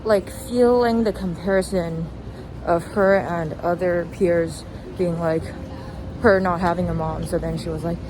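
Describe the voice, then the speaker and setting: A woman's voice: fairly low pitch, steady tone, unhurried. A young adult woman giving casual, rambling commentary as a vlogger, informal and hesitant, with trailing thoughts, recorded outdoors while walking. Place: outdoors, walking along a street. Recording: noisy outdoor recording with traffic ambience. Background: clear street and vehicle noise.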